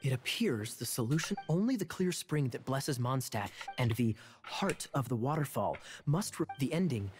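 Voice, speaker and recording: An actor playing a suave, teasing young male knight in a video game, sly and smooth-talking. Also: rumbling low, expressive, normal speed, few pauses, darkish tone. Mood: explaining. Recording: game music over the dialogue.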